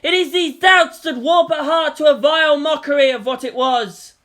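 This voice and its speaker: A reader acting out an impassioned young warrior-preacher, high and bright in voice. He declaims with zeal, as if rallying a crowd in an audio story. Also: normal speed. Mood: fierce, rousing. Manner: oratorical, zealous, declamatory. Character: impassioned young man preaching with chainsword through megaphone. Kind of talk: dramatic fan fiction reading.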